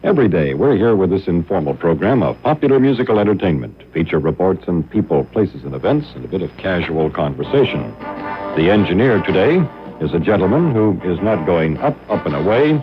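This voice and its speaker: A man's voice: sits low, big pitch swings, fairly quick, over music. An American man hosting an informal radio show on an old shortwave broadcast. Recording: dull lo-fi 1960s shortwave radio.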